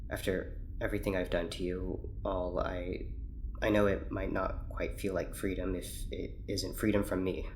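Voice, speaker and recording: A man playing a guarded, formal scientist in an audio drama, speaking in a measured, secretive way. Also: sits low, level tone, a little mellow, slight background noise, natural voice. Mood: hesitant, contrite.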